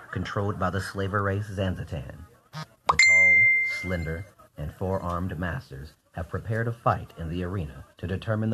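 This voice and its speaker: A man's voice: low-pitched, sharp tone, low-quality recording. A man narrating a scripted radio drama in a theatrical storytelling manner.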